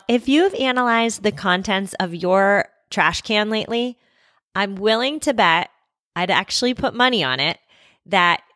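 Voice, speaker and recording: A woman in her thirties hosting a podcast, hoarse and scratchy from a cold, speaking in a candid, conversational way with light self-mockery. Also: mid-range voice, a bit bright, dry recording, studio quality. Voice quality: scratchy, gruff. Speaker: podcast host. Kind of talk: scripted podcast monologue.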